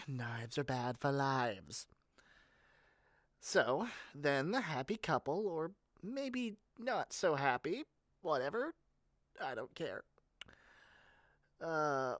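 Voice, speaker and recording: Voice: medium pitch, dry recording, clean studio sound. A performer playing an eager, shady, frazzled salesperson in a scripted audio roleplay, chatty and sardonic with theatrical rambling asides. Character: eager, shady, frazzled yandere matchmaking salesperson, cynical and fast-talking. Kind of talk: scripted audio roleplay monologue to one listener.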